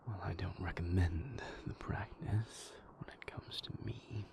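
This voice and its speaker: A man playing a moody, tender vampire lover in an intimate audio roleplay, speaking breathily in formal, measured phrases. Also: sits low, steady tone. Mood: tender, guarded. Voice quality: breathy, whispery.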